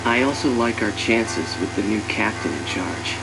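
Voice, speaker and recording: An actor playing a loyal, confident soldier who narrates a war game in a plain-spoken, assured and fond way. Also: male voice, lowish voice, moderate pace, close to an everyday voice, poor audio. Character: loyal, confident male soldier proud of his crew. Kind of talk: scripted game cutscene narration. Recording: loud music behind the voice.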